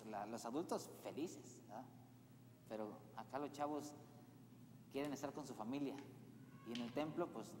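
Priest's voice echoing through a church. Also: male voice, medium pitch, darkish tone, a little hiss or hum. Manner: chatty, asks the congregation if they follow. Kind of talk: parish announcements at Mass. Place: large church. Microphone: far camera, church sound system. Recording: echoey church with long reverb.

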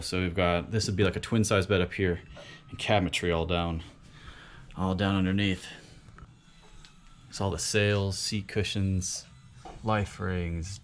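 A man in his thirties vlogging casually from inside a damaged sailboat cabin, swearing freely and filling pauses with ums. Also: sits low, a little hiss or hum. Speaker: sailing vlogger. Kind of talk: casual vlog narration. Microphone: handheld action camera close up.

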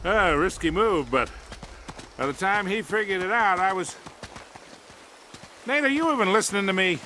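A crusty old treasure hunter in a game cutscene, always joking and grumbling about dead ends, bright high-ish voice. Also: male voice, animated, measured pace, pauses often, a little hiss or hum, full character voice. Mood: rambling. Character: wisecracking older male treasure hunter. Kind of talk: video game cutscene dialogue.

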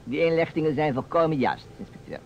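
A man's voice: fairly high pitch, very animated, fast, gravelly, dull audio. A man voicing an arrogant, curt newspaper editor in a Dutch radio play. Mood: haughty. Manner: arrogant, clipped. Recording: old radio recording, faint noise.

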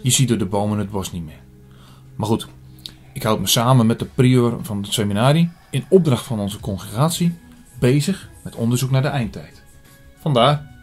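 A man playing an earnest priest in an audio drama, telling his story in a measured, formal way. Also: medium pitch, darkish tone, breathy edge, natural voice. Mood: earnest, explaining. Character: earnest male priest investigating an apocalyptic prophecy. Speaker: narrator and lead actor. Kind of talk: scripted audio drama, first-person narration. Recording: music under the voice.

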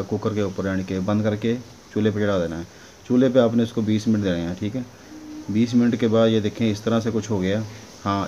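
A man's voice: fairly low pitch, warm tone. A man giving a casual, instructional recipe voice-over as a home cooking host, often checking in with the listener. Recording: dull lo-fi sound with clear noise.

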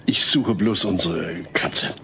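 A man's voice: deep voice, slow pace, vocal fry, bright, forceful tone, slight background noise, full character voice. An actor playing a patient, well-meaning family father who reasons earnestly in a comedy audio drama. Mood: flustered. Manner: earnest, reasoning, hesitant when awkward.

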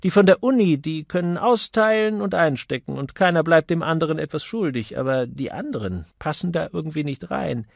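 A man voicing a gossipy, opinionated young female typist in an audiobook, with lively, emphatic delivery. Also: on the high side, fairly quick, creaky, few pauses, no room sound, studio quality, pitched above the performer's own voice. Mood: indignant gossip. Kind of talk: audiobook character voice.

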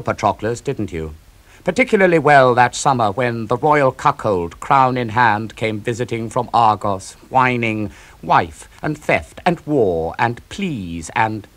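A man voicing a proud, grieving young warrior prince, speaking in a bitter, brooding and measured way. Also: mid-range voice, animated, close to an everyday voice.

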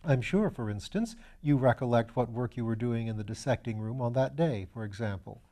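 A man reading a storybook as a calm, courteous gentleman detective, asking patient, gently coaxing questions in a measured way. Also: medium pitch, natural voice. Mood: calm, probing.

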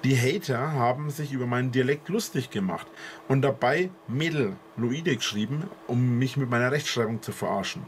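A man with a southern German dialect explaining himself earnestly to camera, halting with fillers. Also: medium pitch, a little hiss or hum. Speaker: video creator explaining himself. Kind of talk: monologue to camera.

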